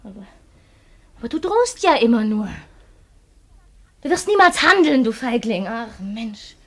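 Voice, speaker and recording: An actress playing a bitter, scornful wife in a German radio play, reproachful and taunting in a theatrical way. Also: female voice, on the high side, expressive, unhurried, fairly bright tone. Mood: defiant contempt. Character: bitter, scornful wife feuding with her murderous lover.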